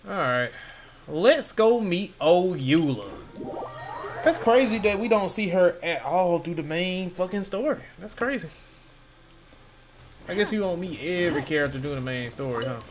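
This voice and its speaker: A man's voice: high voice, expressive, normal speed, noisy background. A man giving casual gameplay commentary as a streamer, with a slangy, offhand way of talking. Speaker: game streamer. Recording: game music behind voice.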